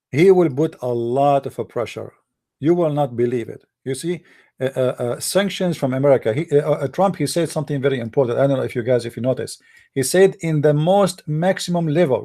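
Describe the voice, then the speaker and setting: A man whose first language is Arabic talks in a blunt, heated casual monologue. His voice sits a little high but sounds soft and mellow, and he stumbles through fillers while searching for words. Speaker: commentator.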